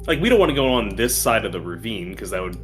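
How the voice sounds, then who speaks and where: A man's voice: lowish voice, very animated, fast, faint music behind. A man talking casually as a player in a tabletop game.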